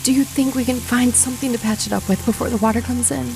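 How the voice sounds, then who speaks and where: An actress playing a wary, secretive, capable woman in a sci-fi horror audio drama, breathy and often close to a whisper. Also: on the high side, fairly even intonation, fast talker. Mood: alarmed.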